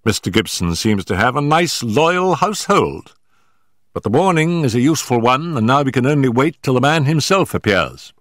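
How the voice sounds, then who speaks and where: A man reading an audiobook, voicing a brisk, sharp-minded male detective who sets out facts in a measured, emphatic way. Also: medium pitch, big pitch swings, no echo, studio quality, pitched above the performer's own voice. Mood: dry, courteous.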